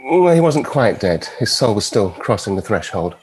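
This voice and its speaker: An actor with a British accent playing a suave, mischievous immortal devil, teasing and flippant, at a TV drama table read. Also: male voice, fairly low pitch, sing-song, normal speed, darkish tone, a little hiss or hum, poor audio. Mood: smooth, matter-of-fact.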